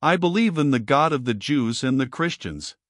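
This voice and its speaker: A man reading the part of a haughty, learned male fallen angel in an audiobook, calm and impassive, in a dry, close sound. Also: mid-range voice, moderate pace, no room sound, close to an everyday voice.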